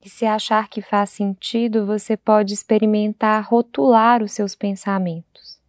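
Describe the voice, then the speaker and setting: A Brazilian woman leading a guided meditation in a gentle, unhurried way, leaving long pauses between phrases. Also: mid-range voice, little pitch movement, no room sound, clean studio sound.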